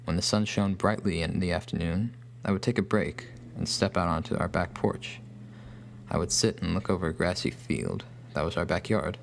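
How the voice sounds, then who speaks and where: An actor playing a solemn, bookish loner recalling his boyhood, narrating a scripted horror story with an American accent and grave, measured phrasing. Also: low-pitched, creaky, slight background noise. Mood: wistful. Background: guitar music.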